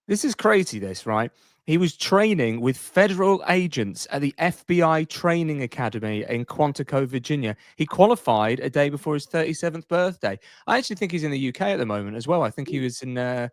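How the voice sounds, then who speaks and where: A man's voice: on the high side, lively intonation, a little fast, no echo, studio quality. A man co-hosting a sports podcast in a casual, informal, conversational way.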